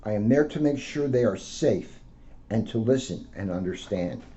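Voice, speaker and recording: A man, a police officer, reading aloud to children in simple, deliberate phrases. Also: lowish voice, lively intonation, warm tone, slight background noise. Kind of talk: read-aloud storytelling with casual asides. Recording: dull lo-fi sound.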